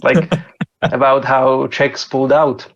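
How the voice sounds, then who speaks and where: Hesitant gravelly Slovak comic. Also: male voice, medium pitch, little pitch movement, takes it slowly, dull audio. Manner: hesitant, many fillers and restarts. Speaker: stand-up comic. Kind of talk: open mic stand-up.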